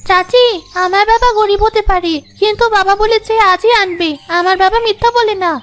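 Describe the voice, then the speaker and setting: Cartoon little village girl: very high voice, eager, pleading, stubbornly hopeful. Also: steady tone, a little fast. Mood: hurt, defiant. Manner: childlike, earnest pleading. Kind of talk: children's cartoon dialogue. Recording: faint music behind the voice.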